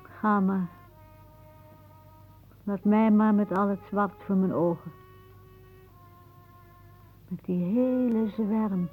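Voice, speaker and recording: An actress playing a weary, bitter older aunt in a radio drama, musing theatrically. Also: female voice, mid-range voice, steady tone, slow, long pauses, soft background music, natural voice. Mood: brooding, sardonic. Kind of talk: radio drama acting, monologue-like. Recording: muffled, narrow-band old radio recording.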